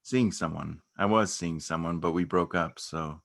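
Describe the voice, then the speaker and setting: A man's voice: low voice, moderate pace, vocal fry, fairly bright tone. A man acting an awkward, earnest, unassuming beekeeper in a naturalistic stage reading, speaking in a hesitant, understated way. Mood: nervous, polite. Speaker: amateur or local theatre actor.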